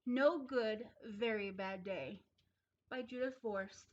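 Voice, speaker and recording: A woman reading a children's story aloud, expressively, with deliberate and emphatic phrasing. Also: fairly high pitch, dull audio. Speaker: storybook reader.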